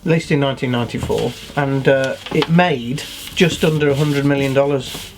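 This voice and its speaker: A man's voice: mid-range voice, normal speed, a little hiss or hum. A British man co-hosting a casual chat show about films. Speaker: co-host.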